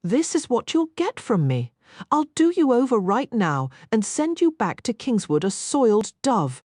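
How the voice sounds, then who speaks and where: Brooding Cockney rogue, strained commanding delivery, read by a woman narrator, pitched up, dry studio read. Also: very animated, a little slow, darkish tone, no echo, heavily acted. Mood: taunting. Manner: strained, commanding, hoarse-edged. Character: rough, brooding gaming-club owner, adult man.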